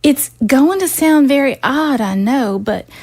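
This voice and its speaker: A woman's voice: high voice, animated, measured pace, darkish tone. A woman voicing an earnest, worried undead first lady who confides hesitantly about her daughter. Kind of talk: amateur audio drama acting.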